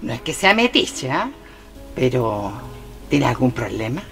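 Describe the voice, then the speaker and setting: Radio drama maid: concerned, devout Chilean servant woman, deep for a woman, lively intonation. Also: a little slow, gravelly, soft background music, heavily acted. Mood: deferential concern. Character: folksy, pious woman servant, concerned and deferential.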